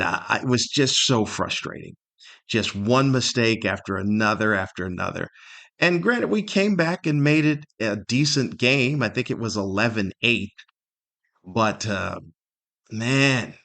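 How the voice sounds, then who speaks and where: A middle-aged man talks casually as the host of a solo podcast. His pitch sits in an ordinary male range. He rambles through his stories with dry, self-deprecating humor. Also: fairly bright tone.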